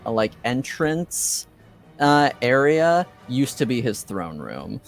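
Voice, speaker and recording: A man acting as game master, improvising descriptions for a tabletop game and often pausing mid-phrase to find his words. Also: medium pitch, sing-song, creaky, faint music behind.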